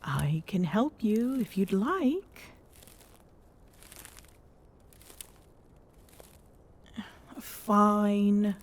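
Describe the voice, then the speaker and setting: A woman's voice: medium pitch, a little mellow, a little hiss or hum. A woman playing a tall Amazonian queen in an intimate ASMR roleplay, smitten and teasing, bossy yet tender, often slipping toward a whisper. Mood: coaxing. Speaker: voice actor in audio roleplay.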